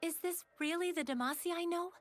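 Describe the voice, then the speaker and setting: A woman's voice: very high voice, a little fast, no room sound, faint music behind. A woman playing a wistful champion of light in a video game.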